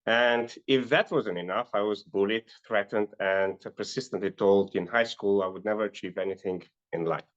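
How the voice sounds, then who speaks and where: A middle-aged man from North Macedonia, a life and business coach, earnestly telling his life story as an interview guest, often pausing on "uh". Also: on the low side, lo-fi sound. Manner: earnest, with frequent "uh" fillers.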